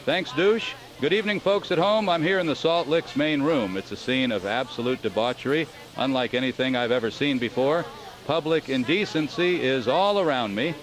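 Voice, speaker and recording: A man's voice: mid-range voice, normal speed, natural voice. A man playing an earnest, scandalized news reporter giving a mock live report in a parody radio drama. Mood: earnest, appalled.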